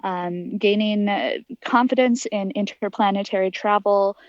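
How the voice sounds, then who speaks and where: A young woman of about twenty-one speaks at a middle pitch as an interview guest. Her answers are articulate and earnest, laid out in an explanatory way. The sound is dry and a little dull, with a lo-fi edge. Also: steady tone, measured pace, no echo. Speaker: interview guest, aspiring astronaut.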